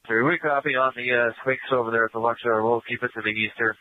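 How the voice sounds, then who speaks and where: A man's voice: medium pitch, fairly even intonation, quick pace, dry recording. A man speaking as a police officer, checking in over a police radio. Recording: narrow, tinny police radio transmission.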